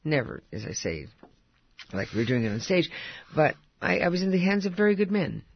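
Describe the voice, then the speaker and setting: Husky older woman, chatty and laughing. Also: on the low side, very animated, fairly quick, muffled recording. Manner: chatty, laughs often, warm storytelling. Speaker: actress guest. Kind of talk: casual interview chat.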